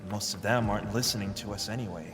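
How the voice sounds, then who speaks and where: A man playing a cocky, defiant high school boy hero in an audio drama, casual and self-assured. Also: quick pace, close to an everyday voice. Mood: cocky, rousing. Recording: echoey reverberant hall, music behind.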